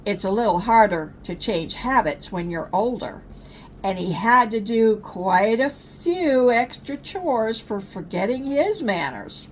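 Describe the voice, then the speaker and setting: Older female storyteller for bedtime tales: unhurried, animated, medium pitch, slight background noise. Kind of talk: children's bedtime story reading. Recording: faint low rumbling background noise.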